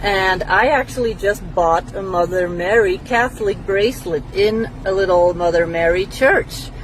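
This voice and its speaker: A woman's voice: medium pitch, moderate pace, slight background noise, poor audio. A woman from Belgium, speaking casually to camera in a reflective, explanatory way as a spiritual teacher. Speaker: spiritual teacher answering a follower's question.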